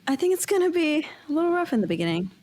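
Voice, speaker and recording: A woman's voice: sits high, big pitch swings, rapid-fire, a little mellow. A woman chatting casually as an interview guest. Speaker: cast member interviewee. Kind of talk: casual chat.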